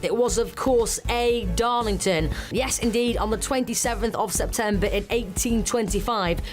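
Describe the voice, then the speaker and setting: A woman presenting a television magazine show, reading scripted links in a bright, upbeat way. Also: on the high side, moderate pace. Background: music bed.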